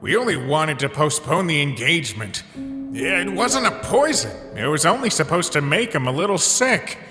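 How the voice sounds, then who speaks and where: A man acting a guilty, evasive young man in a dramatic comic dub, subdued, halting and apologetic. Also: fairly high pitch, sing-song, pushed and bright. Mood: guilty, hesitant. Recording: long hall reverb, music behind.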